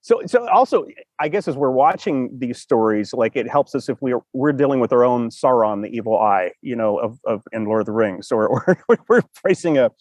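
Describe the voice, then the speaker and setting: A man's voice: medium pitch, lively intonation, normal speed, darkish tone, no room sound. A man hosting a casual, unscripted interview, asking questions in an informal, rambling way. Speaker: talk show host.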